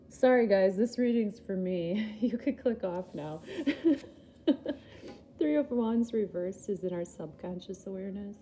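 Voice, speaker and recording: A woman reading tarot in an unhurried, easygoing way, her voice very mellow and a little low. She rambles and laughs at herself, sounding slightly groggy after a bad night's sleep. Faint noise sits behind her. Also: animated. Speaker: tarot reader.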